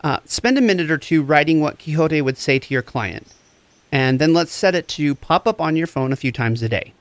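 Gentle higher-voiced male therapist played by an actor. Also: lively intonation, no echo, natural voice. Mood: reassuring, gentle. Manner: reassuring, deliberate, therapeutic phrasing. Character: gentle, encouraging male empath therapist and advocate. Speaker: actor in a fiction podcast. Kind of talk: scripted audio drama, counseling dialogue.

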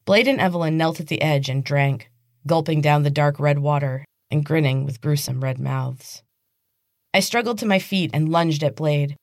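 A woman's voice: deep voice, moderate pace, dry recording, studio quality. A woman reading fantasy fiction aloud as a dramatic, scripted audiobook narration. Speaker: podcast host and author reading own novel.

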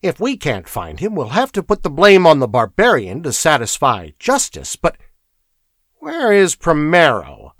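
A man's voice: on the high side, huge pitch swings, fairly bright tone, dry recording, clean studio sound, voice pushed up, full character voice. A man voicing an impatient, authoritative male inquisitor reasoning out a crime in a fantasy audiobook. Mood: deliberate, insistent. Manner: brisk, authoritative, irritable.

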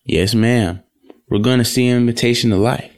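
A man playing a courteous, earnest young gentleman courting his sweetheart in an audio drama. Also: medium pitch, fairly even intonation, normal speed, lightly acted.

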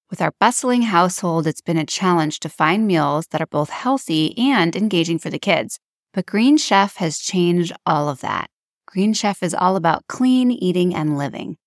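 A woman's voice: fairly low pitch, no room sound, studio quality. Woman host reading a scripted ad. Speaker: podcast host reading a sponsor ad. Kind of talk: scripted promotional ad read.

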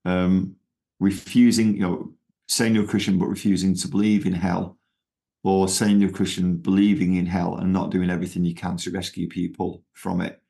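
A man's voice: deep voice, level tone, moderate pace, gravelly, mellow. A middle-aged man from England with a Manchester accent, talking as a guest in an interview, rambling with lots of fillers and restarts. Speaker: ministry founder, guest. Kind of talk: conversational interview.

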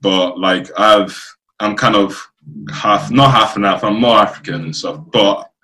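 A man from Nigeria chatting casually as an interview guest, often halting and rephrasing as he goes. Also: on the low side, fairly even intonation, sharp tone, rough recording. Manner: halting, circling back to rephrase. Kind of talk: casual chat. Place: remote video call.

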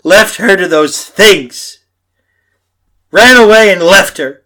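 A male actor plays a terrified, guilt-ridden man telling his own horror tale in a radio play. His voice is bright and forceful, sitting a little high. He speaks slowly and melodramatically, circling back over phrases in haunted desperation. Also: lively intonation, full character voice. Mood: frantic, tormented. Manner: melodramatic, halting with repeated phrases. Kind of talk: horror radio play acting, first-person narration.

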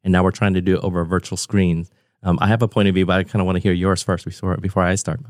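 A man's voice: low voice, flat delivery, rapid-fire, dry recording, studio quality. A man of about forty hosting a podcast interview over a remote call, talking in a conversational, enthusiastic and discursive way. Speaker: podcast host.

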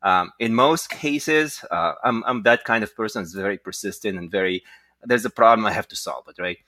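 A man speaking as a guest entrepreneur, telling his story conversationally with frequent halting asides. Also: lowish voice, pushed and bright, no echo. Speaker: guest, tech entrepreneur and inventor.

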